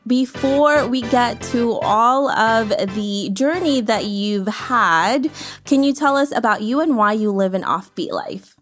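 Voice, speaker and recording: A woman's voice: fairly high pitch, lively intonation, slow. Upbeat woman podcast host over music. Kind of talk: podcast interview.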